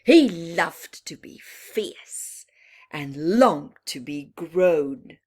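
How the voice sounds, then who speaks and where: A woman storyteller reading a picture book to young children, expressive and dramatic, with hushed asides that explain the story. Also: low voice, drawn-out words.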